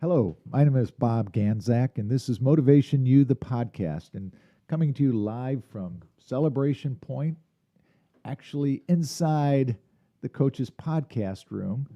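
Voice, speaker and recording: A man's voice: medium pitch, unhurried, dark tone, muffled recording. A man hosting a live podcast interview from a podcast room in a restaurant, chatty and rambling with restarts. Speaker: podcast host.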